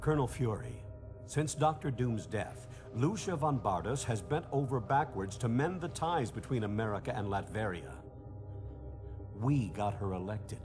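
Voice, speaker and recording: A man's voice: mid-range voice, animated, normal speed, over music, natural voice. A man playing a composed government statesman in a game cutscene, formal, authoritative and dismissive. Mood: lecturing. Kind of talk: cinematic video game dramatic acting.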